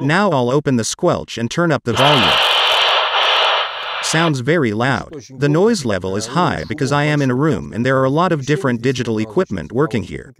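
A middle-aged man with a Russian accent giving a scripted, matter-of-fact technical explanation as a presenter of radio reviews. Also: mid-range voice. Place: small dim room with computer desk.

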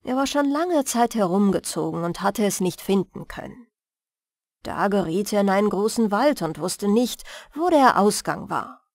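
A woman reading a fairy tale as a bedtime audiobook, in a measured, soothing manner. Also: mid-range voice, lively intonation, a little mellow, no room sound, clean studio sound. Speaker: storyteller and audiobook reader.

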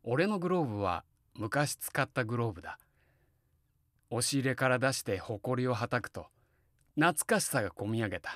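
A wistful, kindly middle-aged man played by an actor tells his story in a voice drama. His medium-pitched voice moves with lively inflection between reflective narration and hearty, coaxing talk. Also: moderate pace, dry recording, studio quality, natural voice. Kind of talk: Japanese voice drama, narration and dialogue.

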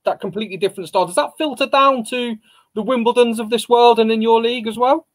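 A man's voice: extremely high pitch, steady tone, fast. A man, hosting a casual video-call interview, chatty and agreeable. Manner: chatty, agreeable, leading questions. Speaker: interview host. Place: indoor room with banner behind. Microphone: video call webcam.

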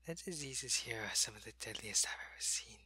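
A man voicing a sick male alien changeling soldier, loyal to his queen, who talks in a soft, weary ASMR whisper. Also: mid-range voice, expressive, a little fast, slight background noise. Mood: weary, ill. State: sick and weak, in pain. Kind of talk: ASMR roleplay.

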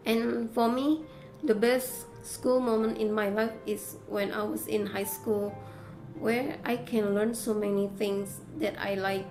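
A woman in her thirties with an Indonesian accent gives a prepared speech to camera as a content creator, in deliberate, halting phrases. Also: medium pitch, steady tone, a little mellow, rough recording. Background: faint music.

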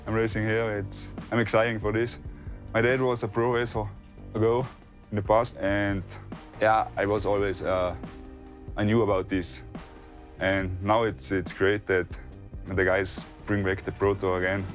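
A man's voice: lowish voice, flat delivery, measured pace, soft background music. A man in his twenties with an Austrian accent, a professional ski racer answering interview questions in simple English. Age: 20s. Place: inside a van or camper.

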